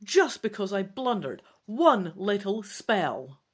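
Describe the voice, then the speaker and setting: A woman's voice: mid-range voice, big pitch swings, takes it slowly, husky, full character voice. Woman doing a petulant young wizard lad, very up and down voice, indignant, storybook fantasy. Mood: angry. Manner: petulant, complaining. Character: sulky young male apprentice wizard, indignant and doubtful. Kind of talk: dramatic gamebook reading.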